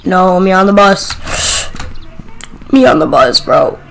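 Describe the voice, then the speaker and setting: An excitable gamer giving casual gameplay commentary and calling out to other players in slangy, profane language. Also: mid-range voice, slow, creaky. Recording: noisy mic with keyboard clicks.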